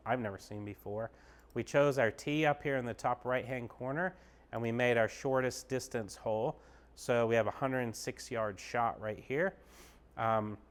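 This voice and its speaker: A man with a smooth, resonant voice and a middle pitch talks in a relaxed, upbeat way. He explains each screen of an indoor golf simulator bay as he clicks through it, like a golf pro giving a casual tour. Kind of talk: casual walkthrough demo.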